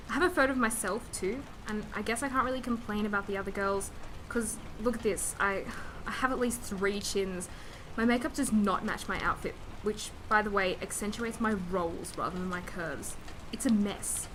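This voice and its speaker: An insecure eighteen-year-old student played by a young woman keeps a video diary in a radio drama. She sounds hesitant and casual, at a medium pitch, with clear room noise behind her. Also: normal speed, a bit bright, lightly acted, low-quality recording. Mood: self-critical, hesitant. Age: young adult.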